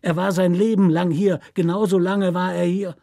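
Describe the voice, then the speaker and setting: Whining, high-voiced, resentful convict. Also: male voice, level tone, normal speed, creaky, dark tone, dry recording, studio quality. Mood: bitter whining. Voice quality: whining nasal, hissing. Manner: pleading, aggrieved, snarling threats. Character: hard, lean male convict, resentful, cornered, whining and menacing.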